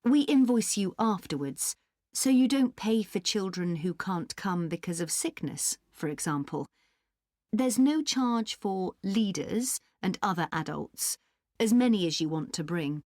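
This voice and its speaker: A woman acting a courteous booking clerk, explaining politely and clearly in a scripted enquiry dialogue. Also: mid-range voice, animated, unhurried, a little mellow, no echo, clean studio sound, close to an everyday voice. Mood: polite, helpful.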